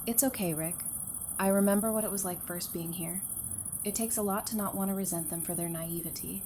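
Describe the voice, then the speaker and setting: A woman's voice: medium pitch, steady tone, brisk, mellow, a little hiss or hum, close to an everyday voice. A woman in an audio drama playing a composed, perceptive psychiatrist turned survivor, earnest and self-assured. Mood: gentle.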